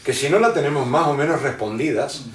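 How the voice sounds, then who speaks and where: A man's voice: medium pitch, a little fast. A man from Argentina, a guest in an interview who explains his ideas at length and often hesitates and restarts his sentences. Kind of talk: conversational interview, lecturing. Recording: roomy sound from a distant mic.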